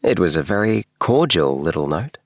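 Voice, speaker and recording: A man narrating a bedtime story in a measured, expressive storytelling manner, recorded in a small booth. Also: low-pitched, dry recording, clean studio sound. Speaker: audiobook narrator.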